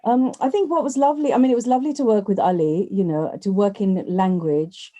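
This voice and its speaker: A woman's voice: mid-range voice, a little fast, mellow. A woman actress talking in an interview, chatty and full of "you know" fillers. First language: not Urdu. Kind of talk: conversational interview.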